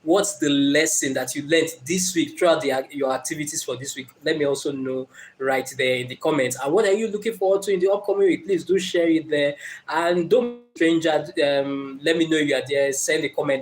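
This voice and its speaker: A man's voice: fairly high pitch, fairly quick, rough recording. Earnest Nigerian man hosting a livestream. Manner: earnest, encouraging, greets commenters, many fillers. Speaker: live-stream host. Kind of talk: motivational live-stream talk.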